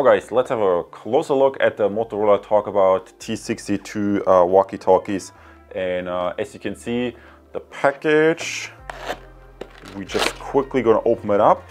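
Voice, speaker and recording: Low-pitched chatty man reviewing products. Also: a little mellow. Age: 30s. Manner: chatty, rambling, digressive. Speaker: product reviewer and channel host. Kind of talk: casual unscripted review talk to camera. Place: indoors in a home room.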